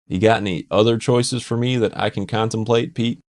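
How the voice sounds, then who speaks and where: A man voicing a weary, resigned male van driver who grumbles flatly. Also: fairly low pitch, brisk, pitched above the performer's own voice, natural voice. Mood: grumbling.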